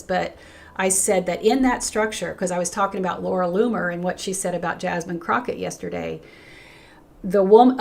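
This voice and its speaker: Hoarse older woman pastor talking live. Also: on the low side. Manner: earnest and emphatic, with frequent restarts and a lively rise when greeting viewers. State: hoarse, losing her voice. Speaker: pastor hosting a livestream. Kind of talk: unscripted livestream talk, reading chat comments.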